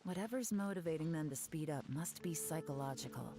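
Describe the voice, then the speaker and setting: A cool, shrewd investigator. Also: female voice, low-pitched, expressive, warm tone. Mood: analytical. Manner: measured, analytical. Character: cool, shrewd female investigator, calm and teasing. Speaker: game character. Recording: game audio with background music.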